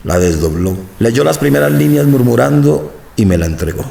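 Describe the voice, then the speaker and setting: A man narrating a radio drama in the first person, measured and brooding. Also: medium pitch, animated, vocal fry, reverberant.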